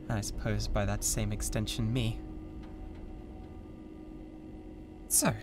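A man's voice: on the low side, steady tone, takes it slowly, noisy background. A British man playing a grandiose, volatile mad scientist who plays god, theatrical and contemptuous, in a scripted ASMR audio roleplay. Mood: disappointed, menacing.

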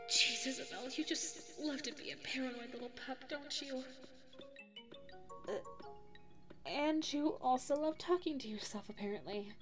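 A woman's voice: on the high side, close to an everyday voice. A woman voicing a sweet, anxious female dog secretary with a crush, speaking hesitantly in an ASMR roleplay. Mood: weary self-reproach. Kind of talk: ASMR audio roleplay monologue. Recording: echoey reverberant sound with background music. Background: music.

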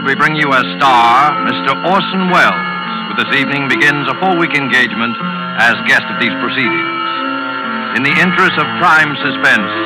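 A man serves as announcer for a vintage radio suspense series. He speaks in a mid-pitched voice, formal and portentous, with loud music behind him. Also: low-quality recording. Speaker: narrator and announcer. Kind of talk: 1940s radio announcing, scripted.

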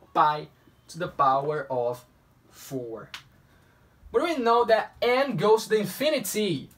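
A man's voice: high-pitched, fairly bright tone, recorded from a distance. A young man from Brazil with a Brazilian Portuguese accent, Portuguese his first language, working a math problem on a whiteboard and playfully talking to himself. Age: young adult. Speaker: math tutor. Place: small room at a whiteboard. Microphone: camera mic at a distance.

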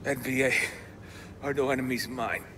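A man's voice: medium pitch, steady tone, normal speed, noisy background, lightly acted. A man playing a wounded, cynical American rogue operative in a video game, ranting profanely and defiantly. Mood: bitter. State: badly wounded, coughing. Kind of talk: video game cutscene acting.

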